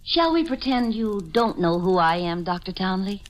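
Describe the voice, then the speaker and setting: A woman's voice: mid-range voice, very animated, moderate pace, lightly acted. An actress playing an imperious, hot-tempered young woman in a 1940s radio drama. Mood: haughty, tense. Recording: noisy vintage radio recording with clicks.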